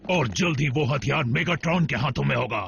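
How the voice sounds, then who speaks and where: A man's voice: mid-range voice, lively intonation, fairly quick, creaky, fairly bright tone. A man voicing a confident robot warrior in a Hindi cartoon dub. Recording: dull lo-fi audio with music.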